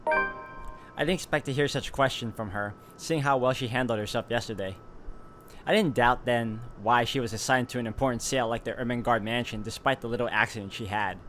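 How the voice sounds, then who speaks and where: A man walking viewers through a visual novel. His voice sits at a middle pitch. He reads the narration steadily and drops into easygoing comments on the game. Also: fairly quick, faint music behind. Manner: steady, even reading, relaxed asides. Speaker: gaming YouTuber. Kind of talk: reading game text aloud with commentary.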